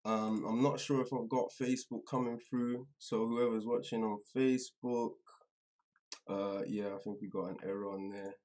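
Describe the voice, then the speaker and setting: A man hosting a live stream in casual, slangy chat, halting and trailing off as he goes, a little away from the microphone. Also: lowish voice, steady tone, darkish tone. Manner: informal, slangy address to viewers; halting, distracted, trailing off mid-sentence. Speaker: livestream host. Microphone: some distance from the microphone.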